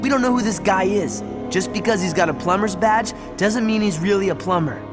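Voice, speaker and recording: A man's voice: high voice, a little fast, natural voice. Guy voicing a cocky teen car guy, kinda dry and blunt, game music under. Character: cocky teen boy mechanic, guarded and blunt. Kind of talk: video game cutscene acting.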